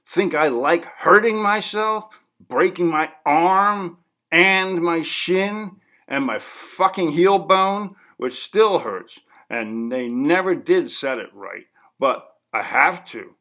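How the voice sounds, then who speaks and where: A man's voice: fairly high pitch, expressive, slow pace, pushed and bright, pitched above the performer's own voice, heavily acted. A man voicing an agitated, paranoid, foul-mouthed male artist in a dramatic short story reading, ranting and insistent.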